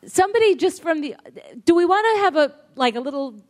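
A woman with a high voice moderates a live panel event. She talks in a loose, halting way, full of restarts and easy laughter. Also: lively intonation, a little mellow. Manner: chatty, halting, laughing often.

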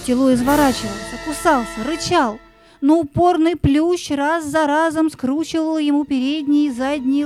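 A woman reading expressive scripted narration for a fairy-tale audio play, with music behind her. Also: sits high.

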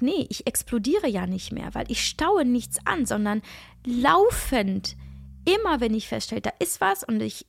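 A middle-aged woman with a cold-thickened, nasal voice talks earnestly through her own podcast, pausing and restarting as she searches for words. Her slightly high voice carries a gravelly fry, recorded close and dry. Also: no room sound. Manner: earnest, searching, many restarts and soft asides. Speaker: podcast host and coach. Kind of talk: reflective solo podcast monologue.

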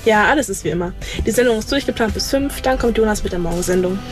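A woman's voice: mid-range voice, fast, music in the background, close to an everyday voice. A woman playing a dutiful, reassuring radio presenter in a radio play.

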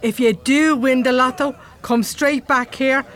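A woman's voice: sits high, steady tone, a little slow. A woman playing a flirtatious, chatty barmaid with an Irish accent in a radio drama, playful and teasing. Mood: cheeky, friendly.

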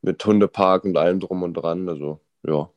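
A young man with a cold chats as a guest, relaxed and full of hesitations. His voice is very low, and the recording is dry and close. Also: moderate pace, dry recording, muffled recording. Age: 20s. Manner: relaxed, hesitant, lots of fillers. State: has a cold. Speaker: guest, ice hockey goalie.

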